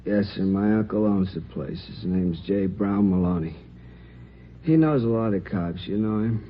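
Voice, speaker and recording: A man playing a shaken, sheepish crime victim in a radio drama, speaking haltingly and a little defensively. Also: lowish voice, natural voice. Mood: weary. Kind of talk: 1950s radio drama acting. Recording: muffled, noisy old radio recording.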